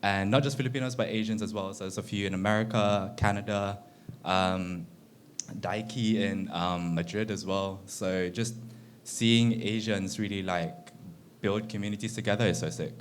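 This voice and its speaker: A man's voice: on the low side, fairly even intonation, moderate pace, slight background noise. A man speaking casually and enthusiastically as a member of a discussion panel.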